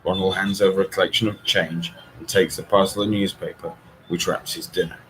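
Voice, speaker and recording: A man reading a story aloud in an expressive audiobook style. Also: sits low, a little fast, slight background noise, low-quality recording. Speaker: author reading own novel.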